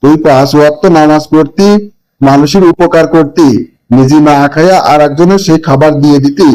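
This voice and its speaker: Man acting weary old Bangladeshi village father, higher-pitched. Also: fairly even intonation, brisk, a little mellow, close to an everyday voice, rough recording. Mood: remorseful. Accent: rural Bangladeshi. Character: poor old village father, weary, scolding but loving. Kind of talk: amateur cartoon voice acting.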